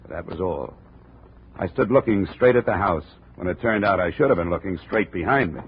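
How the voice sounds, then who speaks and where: Sardonic hard-boiled investigator, deep voice, dry narration, tinny old radio sound. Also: male voice, expressive, brisk, breathy edge, exaggerated voice. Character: wry, hard-boiled male insurance investigator. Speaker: narrator and lead. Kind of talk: 1950s radio drama acting. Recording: tinny old radio broadcast, faint hiss.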